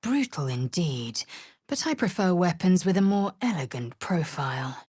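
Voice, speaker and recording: A woman voicing a fierce, haughty githyanki warrior in a video game, with a husky rasp and an imperious, clipped, formal way of speaking. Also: lowish voice, very animated, moderate pace, darkish tone, no echo, studio quality. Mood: proud, dry. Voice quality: husky, raspy. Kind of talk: video game character voice lines.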